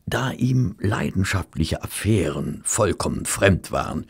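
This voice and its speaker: A genteel, earnest gentleman in a radio play. His low voice is very husky and creaky, polite and a little hesitant. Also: lively intonation, a little slow, full character voice. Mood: reflective. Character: genteel, easily flustered male companion of a detective. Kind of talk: German audio drama acting and narration.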